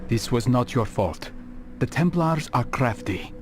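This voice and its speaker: A man's voice: mid-range voice, expressive, close to an everyday voice. Grave male assassin, voiced by an actor, over music. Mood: serious. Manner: formal, deliberate phrasing. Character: grave, philosophical male assassin, stern and measured. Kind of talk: video game cutscene acting. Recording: game audio with music behind.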